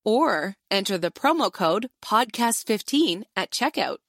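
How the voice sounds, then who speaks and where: A woman's voice: mid-range voice, very animated, unhurried, no room sound, studio quality. An American woman giving a scripted advertisement read as an announcer.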